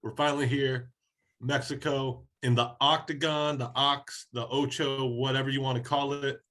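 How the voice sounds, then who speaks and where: A man from Oregon co-hosting a soccer podcast, talking in a relaxed, casual way while slightly hoarse from a head cold. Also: mid-range voice, moderate pace. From Oregon, USA. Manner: laid-back, conversational, many fillers. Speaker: podcast co-host.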